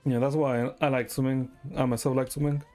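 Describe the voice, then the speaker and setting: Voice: medium pitch, level tone, quick pace, darkish tone, faint music behind. A streamer chatting casually in a hesitant, meandering way, often tagging sentences with "right?" and swearing now and then. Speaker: live streamer. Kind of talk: casual rambling stream chat.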